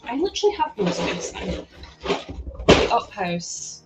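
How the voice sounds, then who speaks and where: A woman's voice: a little high, very animated, measured pace, heavy background noise. A Scottish woman from Scotland chatting casually on a live stream, giggling often as she answers her chat. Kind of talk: casual live-stream chat while sorting building parts.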